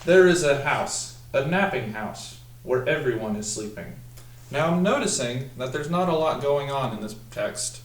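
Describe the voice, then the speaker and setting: A young man doing an instructional picture-book read-aloud in a deliberate manner, drawing out the text and putting questions to young listeners. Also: mid-range voice, animated. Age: young adult. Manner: deliberate, instructional, drawn-out when reading the text. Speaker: student teacher practicing a read-aloud. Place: small room at a table, indoors. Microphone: camera mic a few feet away. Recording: roomy echo, distant microphone.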